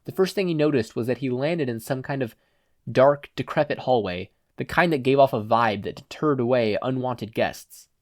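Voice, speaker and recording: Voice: medium pitch, moderate pace, a little mellow, no echo, studio quality. A narrator reading fan fiction as a scripted audiobook.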